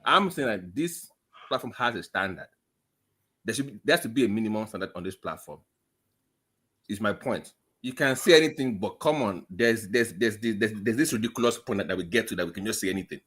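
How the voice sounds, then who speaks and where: Man, husky, lowish pitch, insistent, panel debate. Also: lively intonation, brisk, a bit bright. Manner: insistent, repeats "hold on" to stop others. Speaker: panel guest.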